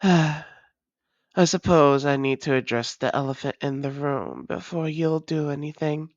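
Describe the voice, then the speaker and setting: A woman playing a wry, doting female vampire lover in an intimate audio roleplay, speaking in a measured, formal way with teasing endearments. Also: sits low, fairly even intonation, dry recording, highs cut off. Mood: gentle, composed.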